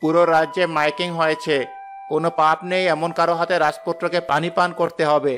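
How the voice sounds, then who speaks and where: A man's voice: a little high, flat delivery, a little fast, a bit bright, natural voice. A man playing a solemn, respectful adviser to a king in a cartoon fairy tale. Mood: deferential. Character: solemn, deferential royal adviser. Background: background music.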